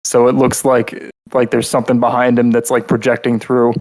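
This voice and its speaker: A man's voice: mid-range voice, monotone, brisk, darkish tone, poor audio. The guy on a hobby podcast who talks in loose, sweary loops while giving his review. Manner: rambling, frequent swearing. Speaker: podcast co-host reviewing game characters. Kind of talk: casual chat.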